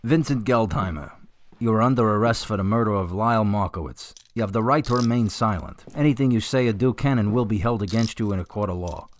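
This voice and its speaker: A man playing a police officer in a radio drama, official, measured and matter-of-fact. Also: fairly low pitch, natural voice. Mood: stern, official.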